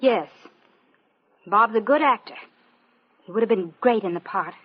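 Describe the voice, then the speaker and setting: A woman's voice: medium pitch, unhurried, husky. An actress playing a sassy, defiant young show-business woman with pert comebacks in an old-time radio mystery. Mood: defiant, loyal. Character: sassy, defiant young showbiz blonde under questioning. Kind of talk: old-time radio detective drama acting. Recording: muffled vintage radio recording.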